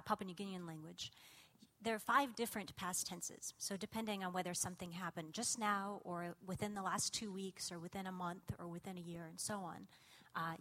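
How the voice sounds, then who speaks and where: A woman whose native language is Russian, giving a public lecture on language and thought in a lively, engaged way with frequent ums and uhs. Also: medium pitch. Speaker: cognitive scientist giving a lecture.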